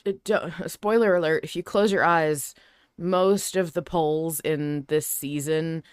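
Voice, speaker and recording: A woman's voice: deep voice, steady tone, slow pace, clear, resonant tone, no room sound, clean studio sound. A woman hosting a podcast, talking casually and analytically, reflective as she thinks aloud. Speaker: podcast host.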